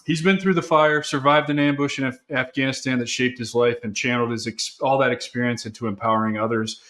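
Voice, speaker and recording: A man hosts a podcast with punchy, casual delivery and a salty edge, speaking at a middle pitch. He moves briskly through his introduction and keeps the tone conversational. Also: fairly even intonation, a bit bright. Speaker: podcast host.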